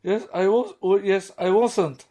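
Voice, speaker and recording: A man's voice: sits high, fairly even intonation, a little slow, mellow, voice pushed up. A man with a Swedish accent voicing a timid, bewildered female cartoon pony, anxious and questioning.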